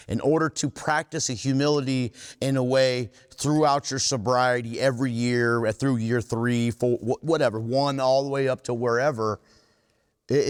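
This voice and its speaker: A man giving a candid, plain-spoken talk to a recovery group, driving home his points by repeating and rephrasing them. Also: mid-range voice. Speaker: workshop speaker at a recovery meeting. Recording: reverberant hall.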